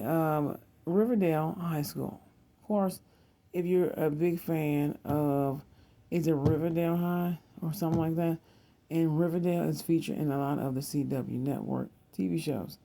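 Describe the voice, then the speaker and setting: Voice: mid-range voice, gravelly, warm tone. A person in their thirties, hosting a rambling, casual psychic video monologue, often circling back and repeating phrases. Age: 30s. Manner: repeats words and phrases, digressive. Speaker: tarot and psychic reading video host.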